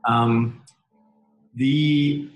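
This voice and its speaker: Male, about forty, Czech accent, mid-pitched, conversational interviewee, echoey room sound. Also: fairly even intonation, very slow, lo-fi sound. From Czech Republic. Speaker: interview guest, tech entrepreneur.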